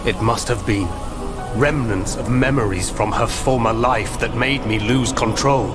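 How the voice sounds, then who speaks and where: A man playing a cold, ruthless, imperious villain lord in a video game, brooding and deliberate. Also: medium pitch, close to an everyday voice. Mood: brooding, bitter. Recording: loud ominous music behind.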